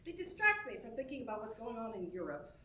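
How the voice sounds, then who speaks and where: A woman's voice: on the high side, sing-song, fast talker, sharp tone, slight background noise. A woman playing a spirited, strong-willed stage star and wife in a theatrical reading, effusive and coaxing. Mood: playful, delighted. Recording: echoey stage room, faint music.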